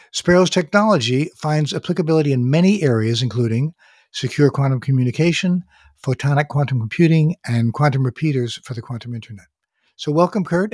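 A man's voice: medium pitch, darkish tone, no echo, studio quality. A man hosting a podcast, reading a polished guest introduction and asking interview questions. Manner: polished, reads guest bio. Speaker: podcast host.

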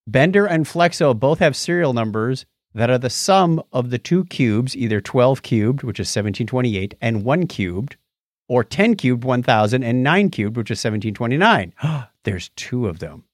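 A man talks casually as a podcast co-host, eagerly laying out details like a keen geek. His intonation moves around a lot at a middling pitch. The sound is dry, with very little room in it. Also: expressive, moderate pace, no echo.

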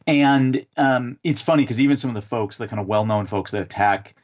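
Guy explaining theology like a teacher, measured, a bit muffled lo-fi sound. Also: medium pitch. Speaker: author and theologian guest. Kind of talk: explanatory interview answers.

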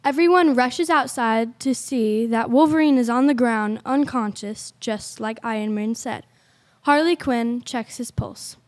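A woman's voice: a little high, a little slow. A woman reading scripted story narration as the narrator of an audio play.

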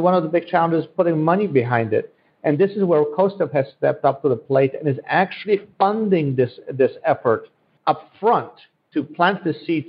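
An earnest man from Central Europe, a native Polish speaker, speaks in a slightly high voice. He pitches his points with promotional zeal and returns to the same phrases. The recording sounds dull and lo-fi. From Central Europe, born in Poland, raised in Germany. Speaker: economic development guest. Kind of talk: podcast interview answers. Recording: dull, lo-fi audio.

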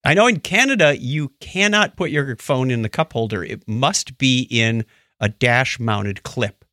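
A man's voice: medium pitch, a little slow, bright, forceful tone, dry recording, clean studio sound. A man co-hosting a casual podcast about news stories, chatting in a joking manner.

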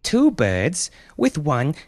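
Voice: mid-range voice, huge pitch swings, very slow, pitched above the performer's own voice, exaggerated voice. A man voicing a boastful, taunting eight-year-old boy who acts like a villainous champion in a story. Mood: gloating, taunting.

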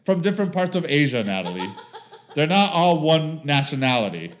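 A man's voice: fairly high pitch, big pitch swings, a little fast, a little hiss or hum. A man co-hosting a podcast, bantering and arguing casually with friends in an exasperated, sweary way. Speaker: podcast co-host.

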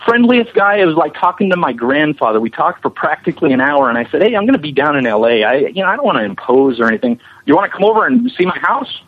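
Guy with husky voice telling stories casually, chatty and keen, muffled radio-like line. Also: on the high side, very animated, quick pace, hardly any pauses. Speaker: visual effects animator being interviewed. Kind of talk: casual anecdotal interview storytelling.

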